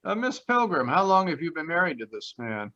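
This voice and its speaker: Man acting a bright, wry radio private eye. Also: fairly high pitch, very animated, brisk. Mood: accusing. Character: confident male private detective, brisk and wry. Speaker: actor. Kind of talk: old-time radio detective acting. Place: live online video call. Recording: slightly dull video-call audio.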